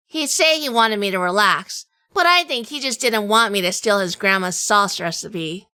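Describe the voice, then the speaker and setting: A woman playing a wry, tough female sleuth in a hardboiled noir audio drama, telling it in measured, dramatic fashion. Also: medium pitch, bright, forceful tone, smooth, no room sound. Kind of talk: first-person noir audio drama narration.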